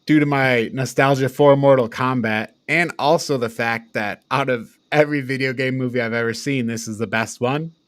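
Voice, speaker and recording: A man's voice: mid-range voice, fairly even intonation, moderate pace, few pauses, no room sound, clean studio sound. A man co-hosting a casual film review chat, informal and given to swearing and laughing. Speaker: podcast co-host.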